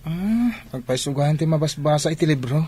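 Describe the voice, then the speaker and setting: A man's voice: on the high side, animated, a little fast, mellow, slightly rough. A man playing a respectful, eager young athlete in an Ilocano radio drama, with an Ilocano Filipino accent. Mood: curious.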